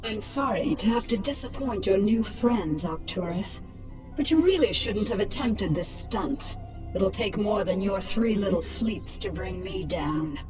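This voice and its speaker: A woman's voice: medium pitch, animated, unhurried, distant mic, music in the background, close to an everyday voice, low-quality recording. A woman voicing a ruthless alien queen in a game, sardonic and menacing. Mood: mocking, menacing. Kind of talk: dramatic game dialogue.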